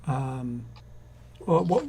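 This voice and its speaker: An actor playing an exasperated young husband who works in a bank, in an old-time radio comedy. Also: male voice, medium pitch, very slow, gravelly, a little mellow, slight background noise. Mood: fussing.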